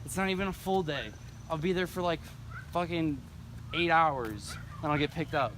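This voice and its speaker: A man's voice: fairly high pitch, moderate pace, a bit bright. Young American guy, noisy casual recording. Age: teens to young adult. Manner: slangy, profane. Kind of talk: casual chat, storytelling among friends. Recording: noisy amateur recording with clear background noise.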